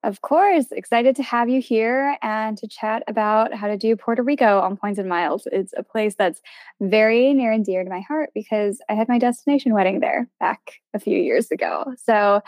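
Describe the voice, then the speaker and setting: A woman's voice: mid-range voice, normal speed, a little mellow, dry recording. A woman hosting a podcast, speaking in an upbeat, friendly way as she introduces guests and asks questions. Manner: upbeat and friendly, reads ad copy smoothly. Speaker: podcast host.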